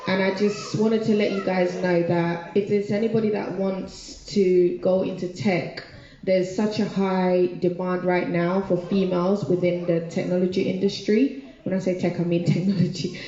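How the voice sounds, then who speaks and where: A woman's voice: on the low side, little pitch movement, moderate pace, mellow. A woman making informal, off-the-cuff remarks from the audience at a gathering. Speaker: audience member volunteering as mentor. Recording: muffled, echoey, noisy room recording.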